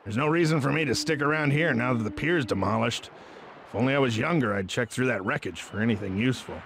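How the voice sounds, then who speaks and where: A man voicing a folksy old fish who clerks at a pier in a cartoon video game, with creaky salesman patter. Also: mid-range voice, quick pace, close to an everyday voice. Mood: resigned. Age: older. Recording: clearly noisy game audio.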